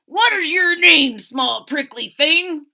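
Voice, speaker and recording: Give this woman-played storybook rhinoceros a loud, blustering swagger. He is a huge, unkind bully with a giggly streak, and his pitch swings widely in a bright, forceful tone. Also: animated, slow pace, gravelly, full character voice. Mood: gruff, menacing. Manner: loud, blustering, exaggerated delivery. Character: very large male rhinoceros, unkind tough-guy bully dissolving into giggles.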